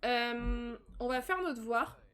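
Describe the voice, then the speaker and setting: A woman's voice: fairly high pitch, takes it slowly, smooth. Chatty young woman streamer. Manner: chatty, laughs often, sudden excited squeals. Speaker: streamer playing a life-simulation game. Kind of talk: casual live-stream commentary.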